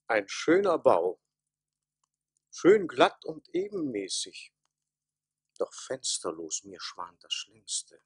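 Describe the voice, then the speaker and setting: An actress playing an observant, foreboding onlooker in a radio play, speaking deliberately and exclaiming. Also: female voice, extremely low pitch, very animated, slightly rough, no room sound, full character voice.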